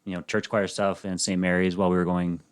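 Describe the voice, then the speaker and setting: Low-voiced guy on a podcast, soft-spoken, lots of ums, thirties. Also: little pitch movement, quick pace, clean tone. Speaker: podcast guest. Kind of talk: casual interview chat.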